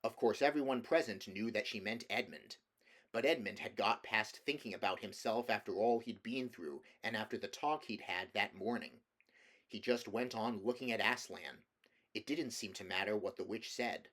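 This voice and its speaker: A man's voice: fairly low pitch, normal speed, a bit bright. A man reading a children's fantasy novel aloud as scripted storybook narration. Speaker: amateur audiobook reader.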